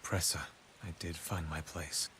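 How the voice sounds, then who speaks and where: A man's voice: low-pitched, fairly even intonation, a little slow, heavy background noise. An actor playing a weary, grieving but resolute man in a video game drama. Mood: sorrowful.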